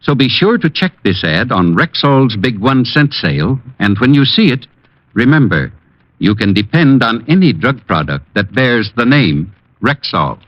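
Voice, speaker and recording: Male announcer, vintage radio commercial, mid-range and very lively, polished pitchman, dull recording. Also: moderate pace, no echo. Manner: polished, emphatic sales delivery. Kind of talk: 1940s radio ad read, scripted.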